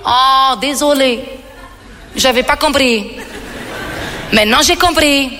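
A woman comedian acting out a protective, slow-to-understand father with a deadpan, crude manner. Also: sits high, some chatter behind, slight background noise, exaggerated voice. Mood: blunt, deadpan. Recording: hall reverb with music behind.

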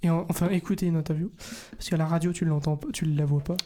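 A man's voice: fairly high pitch, steady tone, fast talker, noisy background. A teenage boy chatting casually, giving rambling, laid-back explanations. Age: late teens. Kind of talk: casual chat.

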